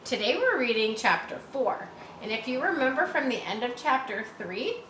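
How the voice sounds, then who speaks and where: A woman reading a children's book aloud expressively, with casual explanatory asides to young listeners. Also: on the high side. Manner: expressive, acts out dialogue and pauses to explain. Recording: roomy echo, distant mic, faint noise.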